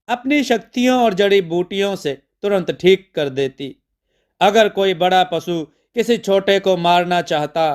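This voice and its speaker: A man narrating a scripted mythological tale as a storyteller, in measured, deliberate phrases with pauses. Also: high-pitched, smooth.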